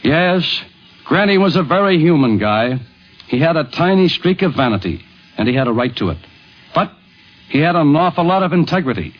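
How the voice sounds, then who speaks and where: Male documentary narrator, lively and fairly high-pitched, dull old radio sound with music. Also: moderate pace. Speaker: documentary host. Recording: dull vintage radio recording.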